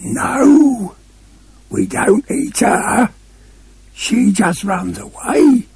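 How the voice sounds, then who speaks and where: An actor playing a lonely, gentle daddy bear in a comic radio play, husky and hesitant, with a plaintive slowness. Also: male voice, very high voice, lively intonation, no echo, exaggerated voice. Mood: wistful.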